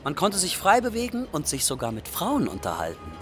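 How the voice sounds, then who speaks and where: A man's voice: on the high side, huge pitch swings, fairly quick, fairly bright tone, soft background music. A man acting as a young Iraqi refugee, candidly and expressively narrating adventures in a radio play.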